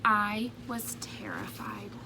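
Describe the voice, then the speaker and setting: A woman reading the part of a timid male egg who tells his own story in soft, earnest first-person storybook narration. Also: medium pitch, very animated, slow pace, in a whisper. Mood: nervous.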